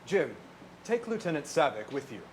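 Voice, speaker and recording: A man playing a formal, logical starship officer with deadpan delivery in a staged parody. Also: a little high, moderate pace. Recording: live stage recording with clear noise.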